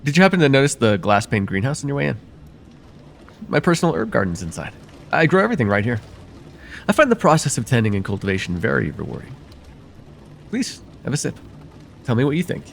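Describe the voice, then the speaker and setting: A man playing a courteous nocturnal vampire therapist in an ASMR roleplay, speaking formally and gently to the listener in a soothing, slightly hesitant way. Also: medium pitch, very animated, brisk, gravelly, pauses often, slight background noise. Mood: soothing, attentive.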